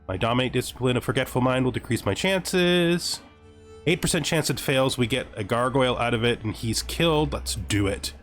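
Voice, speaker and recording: A man's voice: mid-range voice, normal speed, a bit bright. Man giving casual game commentary over music. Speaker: gameplay streamer. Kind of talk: casual game playthrough commentary, reading in-game text aloud.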